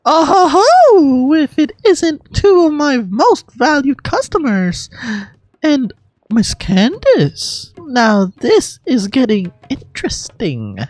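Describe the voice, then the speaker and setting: High-pitched greedy gremlin merchant. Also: female voice, huge pitch swings, darkish tone, dry recording, exaggerated voice. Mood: smug, sly. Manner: wheedling, showy salesmanship, drawn-out words. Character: greedy, scheming little female gremlin merchant. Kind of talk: amateur video game voice acting.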